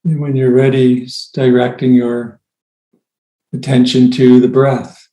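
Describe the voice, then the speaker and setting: A man leading a guided meditation as a teacher, with gentle, unhurried phrasing and long pauses. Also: mid-range voice, flat delivery. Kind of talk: guided meditation, softly spoken instruction. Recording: roomy, echoey sound.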